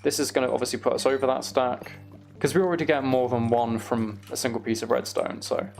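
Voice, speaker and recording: A man's voice: mid-range voice, fast talker, creaky, soft background music. A man giving casual, self-deprecating gameplay commentary, musing aloud as he goes. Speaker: gaming video host.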